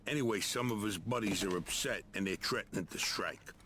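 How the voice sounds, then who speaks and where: An actor playing an arrogant American dockside mob boss in a crime game, bullying and full of streetwise slang. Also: male voice, on the low side, a little fast, slight background noise. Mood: gruff, businesslike. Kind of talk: gangster drama acting. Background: loud music.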